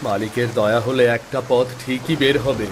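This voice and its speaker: A man plays a poor, God-trusting village father in a cartoon tale. He speaks at a middling pitch in an earnest, patient, reassuring way, with rain clearly heard behind him. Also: fairly even intonation, moderate pace, noisy background, lightly acted. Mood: consoling, hopeful. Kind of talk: Bengali moral-story cartoon voice acting.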